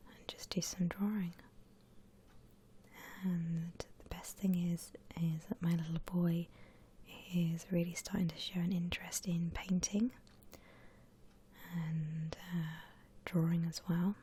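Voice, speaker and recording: A woman softly whispering an ASMR drawing tutorial in a breathy, gentle, unhurried way, like an instructor. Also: fairly low pitch, slight background noise. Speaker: ASMR art channel host.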